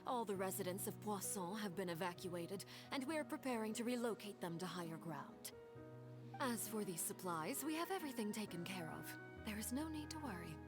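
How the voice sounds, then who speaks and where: A woman acting a calm, formal female agent of a powerful organization in a video game, over music. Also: medium pitch, a little fast, lightly acted. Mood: composed, courteous. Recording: music behind the voice.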